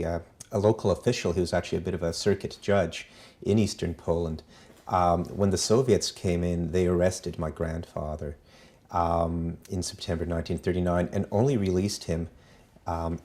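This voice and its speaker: Middle-aged Canadian-born man, very deep voice, thoughtful and halting, personal history storyteller. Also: a little mellow. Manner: measured, many ums and uhs. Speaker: foundation president.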